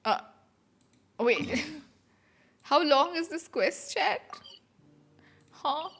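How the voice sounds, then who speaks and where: A woman game streamer reacting casually and haltingly to a live playthrough, muttering to herself over game music. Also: high voice, lively intonation, a bit bright.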